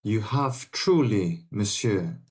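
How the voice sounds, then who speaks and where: An actor playing a brave, respectful young adventurer, earnest and formal, in a dramatic audiobook. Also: male voice, on the low side, expressive, slow. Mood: earnest, polite.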